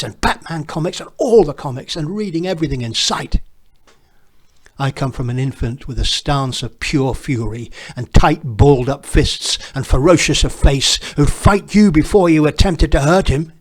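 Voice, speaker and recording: A man, reading his own poem in a measured, reflective way. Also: on the high side. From northern England. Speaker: poet. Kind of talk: poetry reading.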